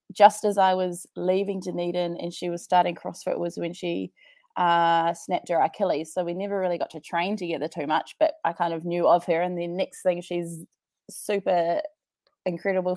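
Young kiwi woman on a video call podcast, lowish gravelly voice, dry close sound, laughs a lot. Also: little pitch movement, moderate pace, a little mellow, no room sound. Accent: New Zealand. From New Zealand. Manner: chatty, laughs often, many restarts. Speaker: podcast guest, CrossFit athlete. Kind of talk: casual interview chat.

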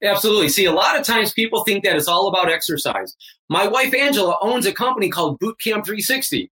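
A man's voice: sits high, expressive, fast talker, sharp tone. A man in his fifties, a fitness coach, talking emphatically and energetically as a guest on a remote interview. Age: 50s. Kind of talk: energetic motivational interview talk.

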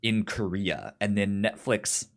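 A man chatting casually as a podcast co-host, halting and informal, with a stutter that repeats sounds and syllables. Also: on the low side. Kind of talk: casual chat, pitching shows to a co-host.

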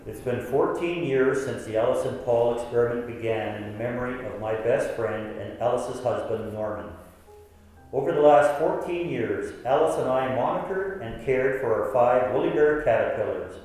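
A man's voice: mid-range voice, normal speed, a little mellow, faint music behind, natural voice. Man acting reflective narrator, distant and echoey. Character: devoted 58-year-old man narrating his long experiment. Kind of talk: scripted first-person radio play narration. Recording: distant, echoey hall with long reverb.

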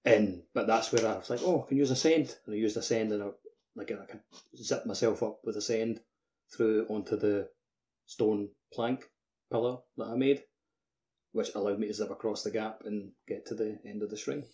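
A man co-hosting a casual video game podcast, speaking haltingly with "like" peppered through his sentences. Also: on the low side, dark tone. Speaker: podcast co-host.

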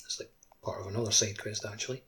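A man co-hosting a gaming podcast, talking casually in loose, halting phrases full of filler words. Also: lowish voice, steady tone, pushed and bright. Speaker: podcast co-host.

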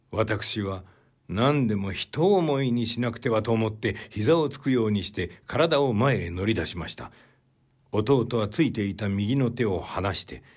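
A man playing a humble, troubled man in a period literary story, recounting his brother's death politely and in measured phrases. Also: on the low side, darkish tone, husky.

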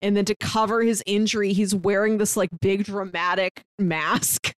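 A woman's voice: mid-range voice, fairly even intonation, moderate pace, no room sound, clean studio sound. A woman co-hosting a movie podcast, talking in an easy, chatty way and now and then laughing mid-sentence. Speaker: podcast co-host. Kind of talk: casual movie review chat.

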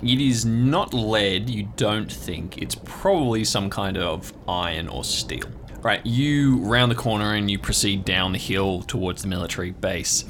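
A man's voice: lowish voice, unhurried, fairly bright tone, a little hiss or hum. A man narrating as game master in an improvised tabletop story, describing scenes in an informal, conversational way.

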